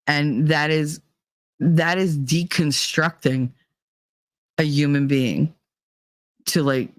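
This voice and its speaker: A woman's voice: deep voice, no echo. A middle-aged woman hosting a livestream, reading chat and ranting bluntly, mocking people with laughter and put-on voices. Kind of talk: casual opinionated livestream chat.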